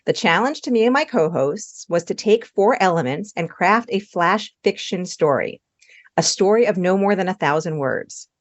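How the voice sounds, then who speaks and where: A woman's voice: fairly low pitch, expressive, moderate pace, no room sound. Woman podcast host, dry dull recording. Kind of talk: casual podcast introduction.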